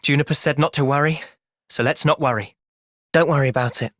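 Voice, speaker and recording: An actor playing an earnest, thoughtful young male hero in a fantasy video game, speaking sincerely and clearly. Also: a little high, brisk, fairly bright tone, no room sound, clean studio sound, lightly acted. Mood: calm, measured. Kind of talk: video game cutscene voice acting.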